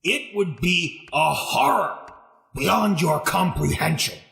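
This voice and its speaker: An actor playing an ominous old man with a creaky voice, in 1930s radio drama style. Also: a little high, animated, slow, bright, forceful tone, heavily acted. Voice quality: gravelly, creaky.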